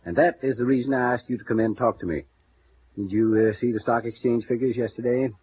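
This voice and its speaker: An actor playing a smooth, guarded male insurance executive who speaks in measured, confiding tones, in a 1950s radio drama. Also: medium pitch, fairly even intonation. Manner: measured, confiding, halting with small pauses. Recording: muffled vintage radio broadcast with faint hiss.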